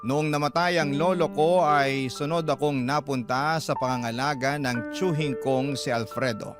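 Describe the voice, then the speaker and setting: A man's voice: mid-range voice, fairly even intonation, normal speed. A man with a Filipino accent reading a scripted first-person story as a radio narrator. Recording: music playing behind the voice. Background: background music.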